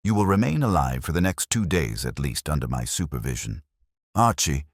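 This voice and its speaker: A man acts out a brusque, imperious detective genius from an audiobook mystery. He speaks in terse, formal pronouncements with a pompous edge, in a very deep register that swings widely in pitch. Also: big pitch swings, moderate pace, husky, no room sound, clean studio sound, full character voice. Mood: commanding.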